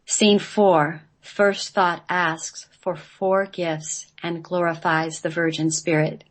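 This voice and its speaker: A woman's voice: fairly low pitch, flat delivery, slow. A woman reading a scripted sacred text as part of a radio play.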